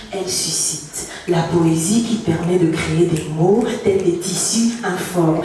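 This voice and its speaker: A woman reciting poetic lines in a measured, lyrical manner at a speaking contest. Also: on the low side, darkish tone, slight background noise. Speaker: speech contest participant. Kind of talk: poetic declamation. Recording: echoey hall, distant mic, faint music.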